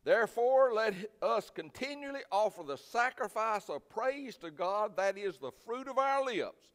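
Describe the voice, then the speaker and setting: A man's voice: high-pitched, animated, unhurried. Preacher man in a reverberant hall. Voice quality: loud voice. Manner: folksy, plainspoken, emphatic, swinging from quiet asides to loud exhortation. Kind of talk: sermon.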